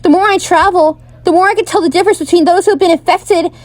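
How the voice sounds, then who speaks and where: A woman's voice: extremely high pitch, steady tone, fast talker, dry recording. A woman playing an anxious, discontented travelling bard in a fantasy audio drama, given to worrying and teasing. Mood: uneasy, brooding. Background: music.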